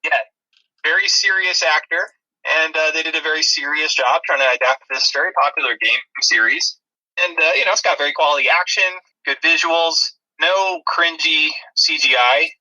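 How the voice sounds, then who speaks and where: A man with a strikingly bright, sharp voice that sits a little high. He talks casually as a podcast co-host reviewing movies, slipping in dry, wry remarks. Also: moderate pace, rough recording.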